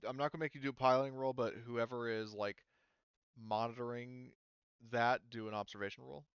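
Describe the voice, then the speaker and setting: A man's voice: medium pitch, steady tone, a little fast, a bit bright, smooth, no echo, dull audio. A man speaking casually as game master, narrating scenes and making rulings in an informal way.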